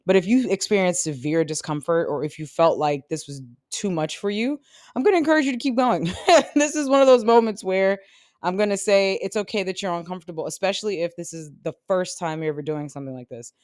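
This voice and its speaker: A sales career coach around forty gives a casual, encouraging lesson to viewers, with quick laughs and self-corrections. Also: mid-range voice, lively intonation, a little mellow, dry recording, clean studio sound. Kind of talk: casual instructional talk to viewers.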